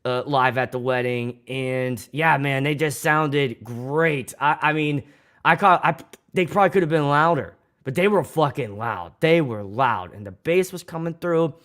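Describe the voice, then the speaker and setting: Rambling Pittsburgh DJ, mid-pitched. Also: male voice, measured pace, a bit bright. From Pittsburgh, USA. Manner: rambling, swears freely, many restarts. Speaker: DJ and livestream host. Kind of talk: casual livestream chat.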